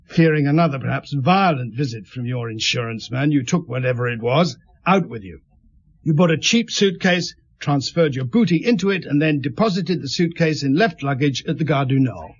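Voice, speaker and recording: An actor playing a stern, shrewd police inspector who questions methodically and sardonically in a radio drama. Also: male voice, medium pitch, lively intonation, normal speed, lo-fi sound. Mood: methodical, accusing. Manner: pressing, methodical, sardonic interrogator.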